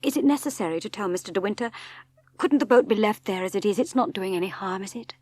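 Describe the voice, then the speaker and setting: A woman's voice: medium pitch, quick pace, a little mellow, close to an everyday voice. A woman voicing a timid, devoted young wife in an audiobook, pleading and tremulous, with an English accent. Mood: anxious pleading. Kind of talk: dramatic audiobook character reading.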